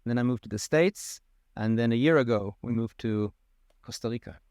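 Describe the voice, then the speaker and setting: A man in his thirties from Israel, a molecular biologist answering questions in a relaxed, thoughtful way. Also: medium pitch, moderate pace, dry recording, clean studio sound. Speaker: podcast guest, molecular biologist. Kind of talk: conversational interview.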